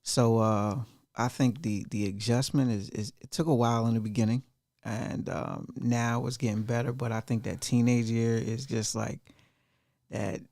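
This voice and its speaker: A soft-spoken man in his forties with a gravelly voice, pausing often with ums as he answers a host. He speaks on a close studio mic in a dry room. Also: medium pitch, fairly even intonation, no echo. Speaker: guest entrepreneur. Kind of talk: interview answers.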